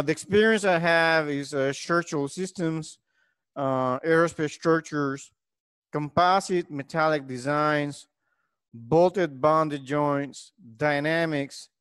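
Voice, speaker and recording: A middle-aged man from Puerto Rico, a native Spanish speaker with a Puerto Rican accent, lecturing on engineering in a halting way with frequent pauses. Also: medium pitch, clear, resonant tone, no room sound, clean studio sound.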